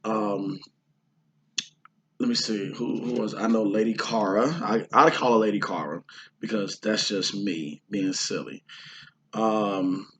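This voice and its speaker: A hoarse man from the Houston area chatting casually about his indie film shoot, rambling with lots of fillers and restarts. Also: fairly low pitch, slow pace, slight background noise. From Houston, Texas area. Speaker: indie filmmaker and actor. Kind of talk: casual vlog talk.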